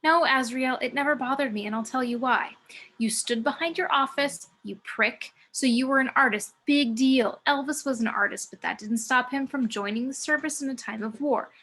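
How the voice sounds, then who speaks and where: A woman's voice: high-pitched, moderate pace. A woman playing a blunt, scornful celestial muse in a script table read, emphatic and sardonic as she scolds her fallen brother. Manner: emphatic, sardonic, sharp-tongued. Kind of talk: amateur table reading of a film script.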